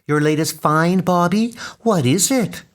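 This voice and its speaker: A man voicing a worried, gentle mother in a comic book dub, speaking with care. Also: sits high, a little slow, very noisy, voice pushed up. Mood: curious.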